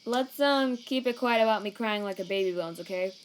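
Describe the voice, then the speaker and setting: A woman's voice: mid-range voice, slight background noise, natural voice. A laid-back male cartoon skeleton acted out by a woman talks in a middling register. He is slangy and protective, with a weariness that makes him halt over his words. Night insects chirp faintly behind him. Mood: uneasy, tender. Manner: casual, slangy, halting when troubled. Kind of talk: comic dub acting. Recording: cricket night ambience behind.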